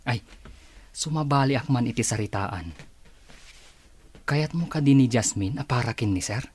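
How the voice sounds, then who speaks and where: An actor playing a teasing, gossipy office co-worker in a radio drama, with an Ilocano accent. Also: male voice, medium pitch, normal speed, a little mellow, breathy edge, natural voice. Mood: teasing, amused.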